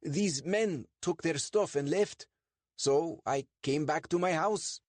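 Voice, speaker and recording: A man voicing a nervous, excitable truck owner who pleads and protests in a raised voice. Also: fairly high pitch, takes it slowly, dry recording, voice pushed up. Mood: protesting.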